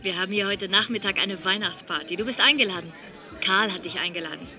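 Radio play role: persistent, chatty wife, very sharp and forward tone, coaxing her man along. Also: mid-range voice, fast talker, a faint crowd. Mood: cheerful, teasing. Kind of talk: naturalistic radio drama dialogue.

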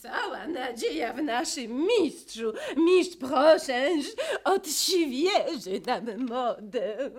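Gushing, high-pitched society lady. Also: lively intonation, very slow, heavily acted. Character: effusive high-society lady greeting guests. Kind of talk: theatrical radio play acting.

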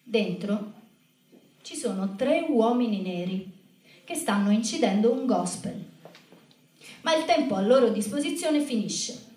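A woman telling a scripted story in a stage show, in a measured, reflective manner. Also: fairly high pitch, animated, darkish tone. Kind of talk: scripted spoken-word narration in a theatre show. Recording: echoey room, distant microphone.

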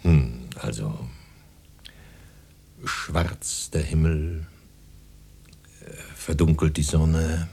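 An actor playing a harried, pompous professional poet in a German radio play, halting and muttering, easily exasperated. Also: male voice, very deep voice, gravelly, darkish tone, breathy edge, full character voice. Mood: laboured composing. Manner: theatrical, halting, muttering then flaring up.

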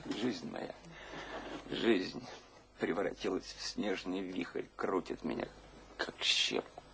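An actor playing an anguished, lovestruck young poet in a radio drama, brooding and theatrical. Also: male voice, deep voice, slow, vocal fry, noisy background, exaggerated voice. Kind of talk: stage-trained radio drama acting.

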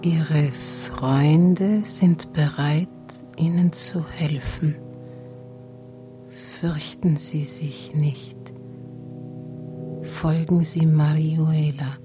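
An actress playing a mysterious figure, reading a secret message in a hushed, half-whispered way in a radio drama. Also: female voice, sits low, steady tone, extremely slow, pauses often, music in the background. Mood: hushed, urgent.

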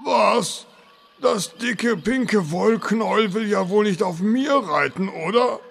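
A man playing a grumpy, sarcastic turtle with a raspy, gravelly voice in a comedic fantasy radio play. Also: high voice, lively intonation, slow, fairly bright tone, full character voice. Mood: indignant. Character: grumpy, sarcastic, very slow male turtle mount.

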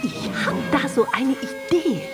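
A mischievous mob schemer, high lively voice, radio play, loud music behind. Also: female voice, a little slow. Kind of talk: exaggerated radio play acting.

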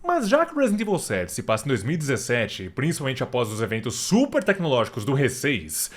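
Animated, fast-talking Brazilian man. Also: mid-range voice. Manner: sarcastic, mock-indignant, slangy. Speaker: gaming video narrator. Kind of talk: comedic game commentary.

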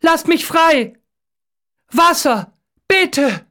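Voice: medium pitch, moderate pace, close to an everyday voice. A narrator voicing a terrified captive man in an audiobook, begging urgently and crying for help. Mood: panicked shouting.

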